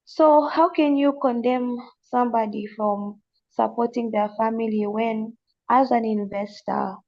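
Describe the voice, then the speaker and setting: A Zambian woman with a slight mixed accent, speaking earnestly on a panel, pausing and restarting as she thinks. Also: a little high, gravelly, lo-fi sound. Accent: slight non-Zambian accent from family. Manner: earnest, thoughtful, halting with restarts. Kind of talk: panel discussion.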